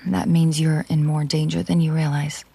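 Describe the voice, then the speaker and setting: A woman playing a possessive, menacing mafia boss girlfriend in an intimate audio roleplay, speaking in a controlled, hushed, deliberate way with a breathy, husky voice. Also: low-pitched, little pitch movement, heavily acted. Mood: protective, commanding. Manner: slow, deliberate, hushed, often breathy near-whisper.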